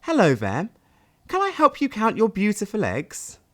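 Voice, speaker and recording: A man's voice: high-pitched, huge pitch swings, moderate pace, voice pushed up. A man voicing a kind, mopey little hero in a children's storybook. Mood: helpful.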